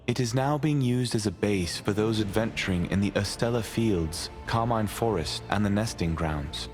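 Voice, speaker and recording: An actor playing a solemn storyteller, narrating ancient lore for a fantasy video game. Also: male voice, fairly low pitch, moderate pace, a little mellow, close to an everyday voice. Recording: long reverb, music behind.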